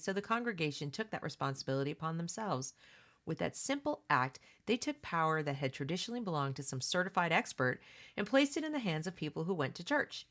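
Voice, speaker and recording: A woman's voice: low-pitched, fairly bright tone. A woman hosting a read-along, mixing reading aloud with casual, opinionated commentary in an emphatic, conversational way that addresses listeners directly.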